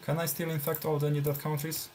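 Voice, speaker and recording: A man's voice: on the high side, monotone, brisk, darkish tone, a little hiss or hum. A man with a Polish accent, native Polish speaker, casually commenting on his own gameplay while thinking aloud with fillers. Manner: thinking aloud, many fillers and trailing phrases. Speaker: gameplay streamer.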